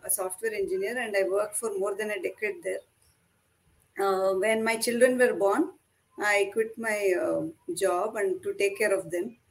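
A woman's voice: medium pitch, poor audio. Woman, 40s, Kannada first language. Author guest. Hesitant, filler-heavy answers. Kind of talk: interview answers.

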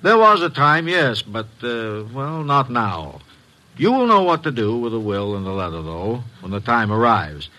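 An actor playing a formal, guarded, well-to-do middle-aged gentleman in a radio drama, courtly and emphatic. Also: mid-range voice, sing-song, normal speed. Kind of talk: old-time radio drama acting.

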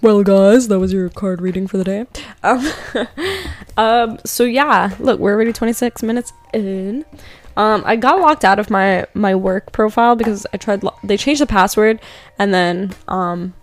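A young woman hosting a casual spiritual chat, rambling with many fillers and slowing down to read out affirmations. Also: medium pitch. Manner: rambling, filler-heavy, laughs often, slows down for affirmations. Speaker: podcast host doing card readings. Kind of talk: casual spiritual chat with tarot-style readings.

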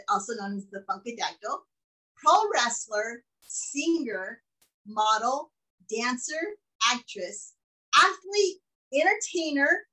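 A woman's voice: sits high, sharp tone, low-quality recording. A woman hosting a podcast, upbeat and gushing as she welcomes and interviews a guest. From Mexican heritage. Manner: upbeat and gushing, with frequent laughs. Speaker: podcast host. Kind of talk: casual interview chat.

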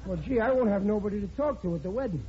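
A man's voice: high voice, rapid-fire, dark tone, natural voice. An actor playing a blundering, good-hearted working-class father in an old radio comedy, stammering in folksy grammar. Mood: hurt, placating. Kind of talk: 1940s radio comedy acting. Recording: muffled, noisy radio broadcast with studio audience.

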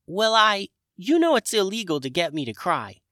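A man voicing a tiny cheerful female wood sprite with a squeaky, chirpy voice. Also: normal speed, no room sound, studio quality, full character voice. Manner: chirpy, lively, high-pitched.

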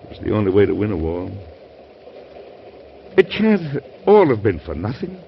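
An impassioned, idealistic weary President. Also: male voice, on the low side, wildly swooping pitch, vocal fry, heavily acted. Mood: weary, resolute. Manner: oratorical, emphatic, deliberate dramatic pauses. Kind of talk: old-time radio drama acting. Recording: dull, hissy old radio recording.

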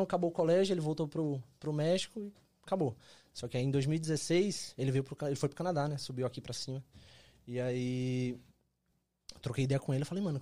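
A man in his twenties with a softened Pernambuco accent, telling his story in relaxed slangy chat as a podcast guest. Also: a little high. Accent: Pernambuco, Brazil, softened. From Pernambuco, Brazil. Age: 20s.

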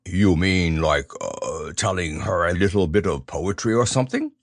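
A man voicing a slow, simple-minded, gentle male bear who stammers and hesitates. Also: low-pitched, no echo, clean studio sound, pitched below the performer's own voice. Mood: hesitant.

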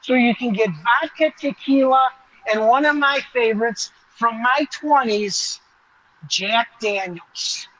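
A man's voice: extremely high pitch, slow, sharp tone, no echo, rough recording. A man giving an enthusiastic, conversational live walk-through as a restaurant reporter, explaining as he goes.

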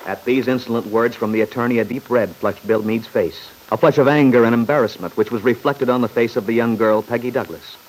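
Actor as grave serial narrator, faint noise. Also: male voice, medium pitch, brisk, hardly any pauses, darkish tone, natural voice. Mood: solemn. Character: grave male storyteller of a radio serial. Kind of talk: scripted dramatic narration, old-time radio. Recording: noisy, hissy old radio recording.

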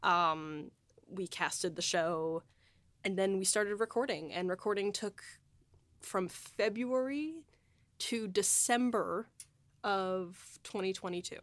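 A woman's voice: medium pitch, slow, fairly bright tone, dry recording. A woman hosting a casual behind-the-scenes podcast chat, rambling along and peppering her sentences with "like". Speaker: host and audio drama writer-producer.

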